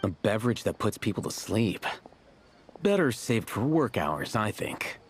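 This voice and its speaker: Low-voiced deadpan anime man. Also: unhurried, very noisy. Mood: dry. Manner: deadpan, terse. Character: aloof, blunt, dry-witted man in fantasy. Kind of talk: anime game voice acting.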